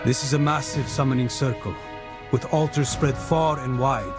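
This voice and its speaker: Man as a grave, measured warrior mentor. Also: mid-range voice, steady tone, lightly acted. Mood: encouraging, purposeful. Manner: formal, measured. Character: grave, knowing male warrior mentor, purposeful and encouraging. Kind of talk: dramatic video game acting. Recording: loud music over dialogue.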